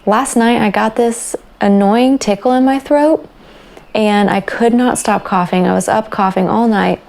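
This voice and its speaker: A young woman hosting a knitting podcast, chatting casually and relaxed, her voice raspy after a night of coughing. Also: medium pitch, unhurried. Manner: chatty and relaxed, with fillers and small laughs. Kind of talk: casual chat about crafts.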